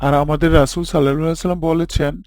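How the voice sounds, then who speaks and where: A pious, kindly father from a moral cartoon, acted by a man, with a fairly high male voice. He instructs patiently and approvingly, a little preachy, with a dry studio-like sound. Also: fairly even intonation, very fast, a little mellow, no room sound, natural voice. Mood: instructive. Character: kindly, pious father gently teaching his young son. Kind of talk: children's moral cartoon dialogue.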